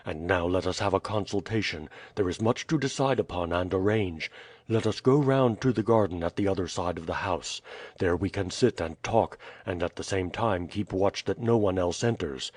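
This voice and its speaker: A man doing a calm loyal guardian. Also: sits low, no echo. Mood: steady. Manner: calm, measured. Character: prudent, loyal grown male servant and guardian.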